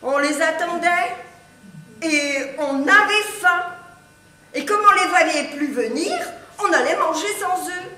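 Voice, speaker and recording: A woman playing a fussy, bright-voiced stage wife. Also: very high voice, slow, full character voice. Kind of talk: amateur stage acting. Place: theatre stage before an audience. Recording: echoey hall, faint noise.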